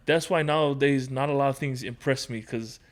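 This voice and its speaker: A man's voice: medium pitch, fairly quick. A man from Hawaii, probably in his thirties, talking as a podcast guest in an easygoing, rambling storytelling way. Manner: relaxed, storytelling with fillers. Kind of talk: casual conversation.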